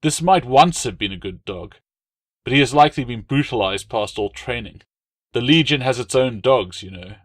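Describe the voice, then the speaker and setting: A man's voice: mid-range voice, lively intonation, moderate pace, fairly bright tone, no echo. A man voicing a skeptical young Roman officer in an audiobook, with a dry, dismissive manner. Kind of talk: audiobook character dialogue.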